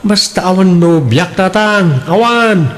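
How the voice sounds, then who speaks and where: A man's voice: sits high, expressive, takes it slowly, a bit bright, soft background music, exaggerated voice. A man playing an evasive, wheedling young adult son in a comedic radio drama, with a pleading, defensive, colloquial way of talking.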